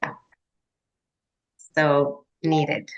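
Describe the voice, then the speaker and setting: A woman in her fifties from Mexico, a menopause wellness coach, talking on a video call in a halting way, with many restarts and fillers. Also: low voice, completely flat delivery, lo-fi sound. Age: 50s. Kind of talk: casual video-call conversation.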